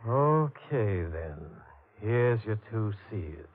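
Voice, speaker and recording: A man's voice: fairly low pitch, sing-song, drawn-out words, loud music behind the voice, exaggerated voice. An actor playing a blunt, menacing, wisecracking American gangster henchman in an old-time radio drama. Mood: pleased. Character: gruff, menacing, suspicious male gangster henchman. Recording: tinny, noisy old radio recording.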